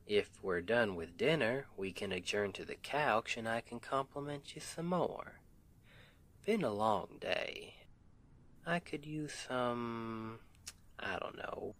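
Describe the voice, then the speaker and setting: A man's voice: mid-range voice, noisy background. A man playing a sweet, weary Southern US husband and roofer in an intimate ASMR roleplay, with an affectionate, teasing, folksy drawl. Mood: playful, tender, then tired, teasing. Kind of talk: intimate ASMR roleplay, one side of a conversation.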